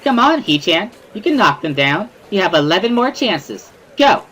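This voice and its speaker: A man voicing a bubbly, loud teenage schoolgirl who teases in a gushing, sing-song way. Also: high voice, sing-song, moderate pace, voice pushed up, exaggerated voice. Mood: cheering on.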